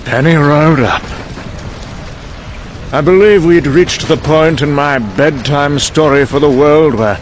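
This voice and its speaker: An actor playing a cynical, boozy British scientist delivering a sardonic, erudite monologue in an audio comic. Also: male voice, fairly high pitch, slow, a little hiss or hum. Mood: wry storytelling. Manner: sardonic, erudite, with sudden bursts of temper.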